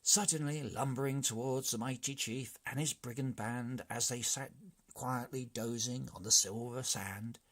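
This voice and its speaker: A man narrating a children's storybook aloud in an expressive, theatrical read-along style. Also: mid-range voice, unhurried.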